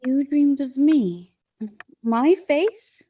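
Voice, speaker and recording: Slow-spoken, earnest young gentlewoman. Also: high voice, lively intonation, muffled recording, heavily acted. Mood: startled, curious. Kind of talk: dramatic radio play acting.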